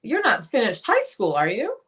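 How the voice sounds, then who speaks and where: A woman playing a chatty, affectionate and slightly vain older sister in a dramatic script reading, expressive and teasing. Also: fairly high pitch, moderate pace. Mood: chatty, breezy.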